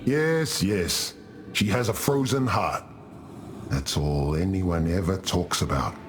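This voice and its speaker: Deep-voiced, hearty warrior chieftain. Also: male voice, animated, slow, slight background noise. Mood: playful. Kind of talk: video game character dialogue. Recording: loud music behind the voice.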